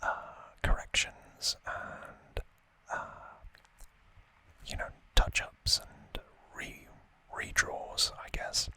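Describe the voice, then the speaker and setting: Softly whispering man, slow ASMR talk. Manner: whispering throughout, hesitant, thoughtful pauses. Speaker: ASMR video host. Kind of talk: whispered ASMR, unscripted explanatory unboxing talk.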